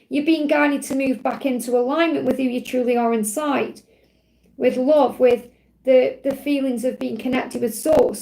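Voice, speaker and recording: A woman hosting a live spiritual card reading, her voice croaky from overwork, reassuring and repeating her key phrases. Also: high voice, dark tone, low-quality recording. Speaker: spiritual card reader, livestream host.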